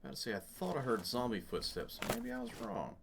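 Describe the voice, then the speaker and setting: A man's voice: medium pitch, animated, brisk, noisy background. A man giving casual, jokey let's play commentary, muttering to himself as he goes, as the host of a gaming channel.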